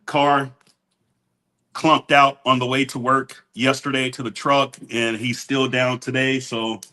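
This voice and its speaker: A man's voice: mid-range voice, flat delivery, a little slow, pushed and bright. A man co-hosting a podcast, talking through his week in a plain, matter-of-fact way. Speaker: podcast co-host, business owner. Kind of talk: casual chat.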